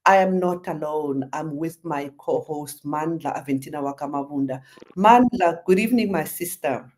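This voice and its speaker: A woman's voice: deep voice, moderate pace. A woman hosting a radio interview show, talking effusively with many fillers.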